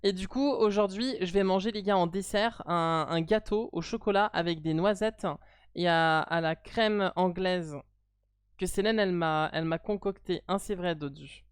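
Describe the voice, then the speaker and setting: A French woman streamer chats casually with her partner, blunt and playfully teasing. Her voice is smooth and clear, in a dry close sound. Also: on the low side, normal speed, no echo. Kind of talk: casual live stream chat.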